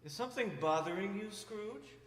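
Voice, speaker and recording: An actor playing a commanding, probing spirit in a deliberate radio-play style, in an echoey hall. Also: male voice, high-pitched, expressive, fairly bright tone. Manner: deliberate, commanding, pointed questioning. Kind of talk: live radio-play stage acting. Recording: echoey hall, music behind.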